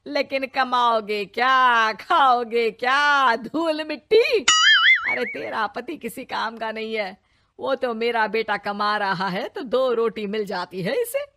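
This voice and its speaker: A woman's voice: on the high side, expressive, slow, bright, forceful tone, soft background music, full character voice. A woman voicing a scheming, domineering stepmother-in-law in a cartoon, scornful and bossy. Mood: mocking.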